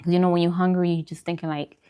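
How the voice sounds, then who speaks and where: Low-voiced woman chatting casually. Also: little pitch movement, racing, dark tone, clean tone. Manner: informal, chatty, self-deprecating. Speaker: vlogger hosting a live mukbang stream. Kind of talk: casual livestream chat while eating.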